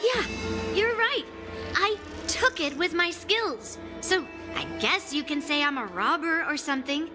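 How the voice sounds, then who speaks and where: Young female sword prodigy, game role: high, confident, blunt and cocky, over clear music. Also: expressive, a little slow. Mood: indignant, smug. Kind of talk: video game voice acting.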